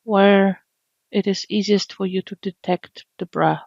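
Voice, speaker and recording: A woman leading an unscripted guided body scan meditation, speaking gently and soothingly with long pauses. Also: mid-range voice, fairly even intonation, creaky, no room sound, lo-fi sound. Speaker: meditation teacher.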